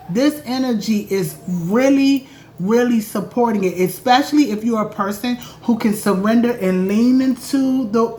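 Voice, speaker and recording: Woman astrologer chatting to her viewers at home, calls them y'all, bit of faint noise behind. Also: medium pitch. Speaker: astrologer and vlog host. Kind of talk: casual spiritual vlog talk.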